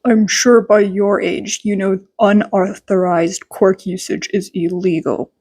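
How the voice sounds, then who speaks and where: Lady doing a gruff tired hero guy, slightly lowered voice, deadpan drawl, audiobook style. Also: a little slow, no echo. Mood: dry, stern. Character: gruff, weary adult male hero, dry and irritated.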